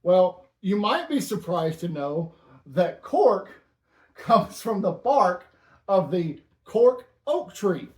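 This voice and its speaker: A man hosting a casual stamp-collecting show, with dry, deadpan banter. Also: high-pitched, slow, echoey room. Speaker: host.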